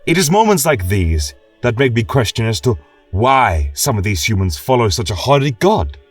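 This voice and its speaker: A man voicing a gentle, reflective horned and tailed war veteran, earnestly and thoughtfully reading out his fantasy journal. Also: on the low side, no echo. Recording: distant mic with music behind.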